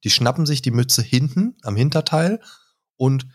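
A man's voice: mid-range voice, animated, normal speed. A man hosting a podcast, chatting casually and often adding filler words and tag questions. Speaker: podcast host. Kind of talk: casual chat.

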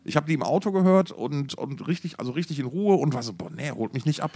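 A man's voice: fairly high pitch, animated, fast, dark tone, husky. A man chats casually as a podcast co-host, in a colloquial, effusive way full of interjections and slang. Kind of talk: casual music podcast chat.

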